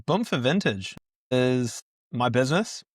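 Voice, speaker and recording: A man's voice: medium pitch, unhurried, dry recording, clean studio sound. A man with a New Zealand accent, a podcast guest chatting casually and easily about his work. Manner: relaxed, easygoing, with fillers and restarts. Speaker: guest, business owner. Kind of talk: casual interview chat.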